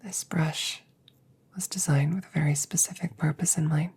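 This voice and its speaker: A woman playing a wounded, stoic android soldier in a whispered ASMR audio drama, speaking formally and haltingly in a hushed, breathy voice. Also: sits low, steady tone. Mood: calm, instructive. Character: wounded female android soldier, polite, stoic, quietly grieving.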